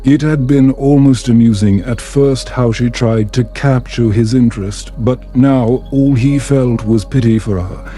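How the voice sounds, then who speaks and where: A man reading a scripted bedtime story in a calming, soothing, measured way. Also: medium pitch, soft background music. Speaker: storyteller, narrator.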